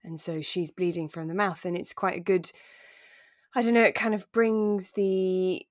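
Low-pitched woman, chatty and informal. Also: fairly even intonation, moderate pace, no room sound, clean studio sound. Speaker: podcast co-host. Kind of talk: relaxed chat recapping a radio drama.

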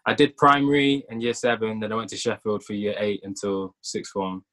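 A young man from Birmingham in casual chat, telling stories in a relaxed, rambling way with lots of fillers. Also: lowish voice, fairly quick. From Birmingham, England. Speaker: podcast guest.

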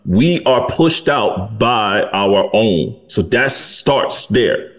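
A man's voice: lowish voice, animated, extremely slow, a bit bright. A man in his forties hosting a podcast, talking bluntly and candidly in casual conversation and swearing freely. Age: 40s. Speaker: podcast host.

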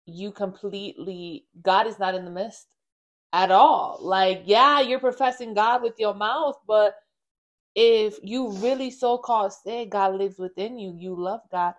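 A woman's voice: medium pitch, measured pace, muffled recording. Emphatic woman talking about faith. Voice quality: loud projected voice. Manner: animated, emphatic, says "like" often. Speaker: co-host discussing faith. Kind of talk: casual faith discussion.

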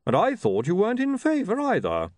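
A man's voice: sits high, wildly swooping pitch, fairly quick, a little mellow, no echo, pitched above the performer's own voice. A man voicing a blunt, blustering, impatient chief priest in a fantasy audiobook. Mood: indignant.

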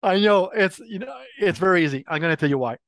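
A middle-aged French Canadian man, a native French speaker, answering interview questions in a candid, animated way. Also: sits high, fast talker. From Quebec, Canada. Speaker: guest, brand marketing head.

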